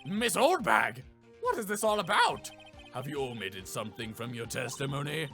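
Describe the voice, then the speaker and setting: A man performs a young male defense attorney from a courtroom game. He sounds earnest and easily flustered, pressing and stammering in disbelief. His pitch jumps about in a lively way, with faint music underneath. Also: normal speed. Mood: pressing, indignant.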